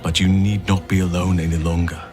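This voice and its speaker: A man playing a grave, fatherly druid in a video game, speaking in an earnest, soothing, measured way. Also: low-pitched, flat delivery, natural voice. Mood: tender, consoling. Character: gentle, grave adult male druid, fatherly and patient. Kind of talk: video game character acting. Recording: music behind the voice.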